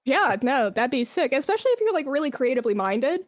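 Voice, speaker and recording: Female podcast guest, fairly high voice in a dry recording, conversational, keen and emphatic delivery. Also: big pitch swings, fast, dry recording. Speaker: podcast guest, esports brand manager. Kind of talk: casual interview conversation.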